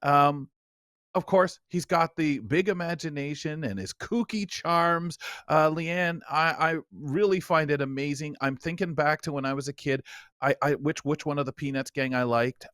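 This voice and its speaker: A man's voice: a little high, a bit bright, dry recording, clean studio sound. A man hosting a talk show in a chatty, rambling way that often restarts and circles around.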